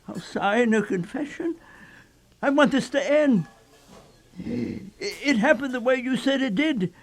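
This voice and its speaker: An actor playing a desperate, haunted old murderer in a radio play, pleading haltingly and repeating himself. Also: male voice, very high-pitched, animated, creaky, exaggerated voice. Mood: tormented, pleading. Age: older.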